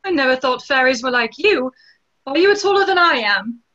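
A woman's voice: high-pitched, expressive, moderate pace, pushed and bright. A young woman playing a dreamy, startled girl full of questioning wonder in a theatrical play reading. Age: young adult. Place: plain room at home, on a video call. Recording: muffled video-call audio.

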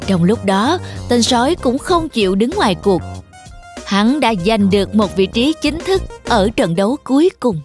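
A woman's voice: on the high side, lively intonation, normal speed, a little mellow. A woman narrator reading a scripted children's story. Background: music.

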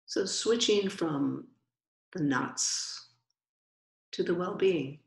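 A woman meditation teacher giving guided meditation instruction in a gentle, unhurried way with long pauses. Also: on the low side, creaky. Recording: roomy, echoing sound.

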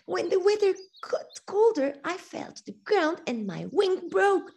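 A woman's voice: very high-pitched, very animated, a little slow, vocal fry, a little mellow, pitched above the performer's own voice, full character voice. A woman voicing an earnest male swallow in a children's storybook tale, urgent and exclamatory, in non-native English. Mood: plaintive. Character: earnest male swallow, injured, grateful, urging friend.

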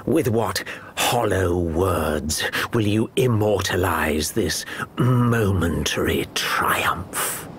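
An actor playing a scornful, grandly verbose alien villain in a video game cutscene, speaking theatrically. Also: male voice, low-pitched, animated, fairly bright tone, slight background noise, exaggerated voice. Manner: slow, pompous, theatrical.

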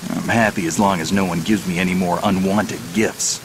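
An American actor playing a stoic, sardonic veteran infiltration soldier in a video game, gruff, gravelly and terse. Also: male voice, low voice, fairly even intonation, normal speed, background noise. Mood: dry, sardonic. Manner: terse, dry, clipped. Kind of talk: video game cutscene acting, radio dialogue.

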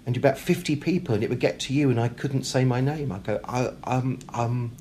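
British man, interview guest, talkative, halting word repeats, self-deprecating, noticeable background noise. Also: medium pitch, mellow. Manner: hesitant, with repeated words and occasional stammering. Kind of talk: casual interview conversation. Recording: clear background noise, room ambience.

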